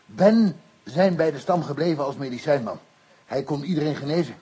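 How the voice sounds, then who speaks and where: Husky African tribesman in broken speech. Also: a little high, dark tone. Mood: solemn, recounting. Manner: broken, foreigner's grammar, simple halting phrases. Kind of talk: radio play acting.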